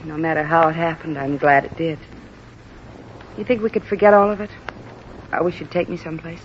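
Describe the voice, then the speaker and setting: A woman's voice: deep voice, fairly even intonation, a little fast, slight background noise, natural voice. An actress playing a proud, desperate young former hat check girl in a 1950s radio drama, earnest and pleading. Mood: tender. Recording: muffled old radio recording.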